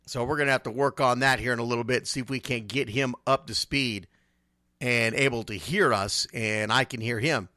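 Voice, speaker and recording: A man's voice: medium pitch, pushed and bright, no echo, studio quality. A man hosting a casual sports talk radio show, speaking emphatically and repeating phrases to drive points home.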